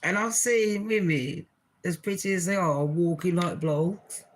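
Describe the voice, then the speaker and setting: Brief: woman host, deep voice, slow and blunt, swears freely, casual reaction chat. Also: a little hiss or hum. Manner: blunt, swearing, chatty with laughs. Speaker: channel host.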